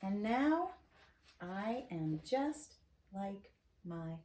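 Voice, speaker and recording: A woman's voice: mid-range voice, very animated, extremely slow, mellow. A middle-aged woman reading a children's picture book aloud, encouraging, with chatty asides and questions to check understanding. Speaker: storyteller reading to grandchild. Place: wood-shelved home study, indoors. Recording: roomy echo, faint noise, clicks.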